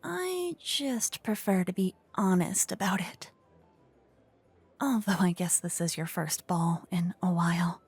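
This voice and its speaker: A woman playing a flirtatious, witty royal tailor in a scripted fantasy ASMR roleplay, breathy and often whispering, with a teasing, refined, gossipy manner, close to a binaural microphone. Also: fairly low pitch, a little slow, no echo, faint music behind. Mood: teasing, confident.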